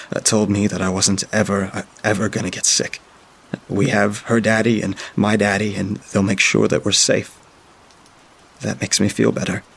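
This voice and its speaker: A man narrating as a frightened young child keeping a diary, speaking haltingly with frequent filler sounds. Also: lowish voice, gravelly, fairly bright tone, husky, full character voice. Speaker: storyteller. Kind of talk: first-person diary reading.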